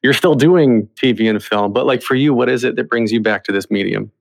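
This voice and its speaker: A man's voice: medium pitch, a little fast, creaky, poor audio. Man hosting a conversational podcast interview. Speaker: podcast host.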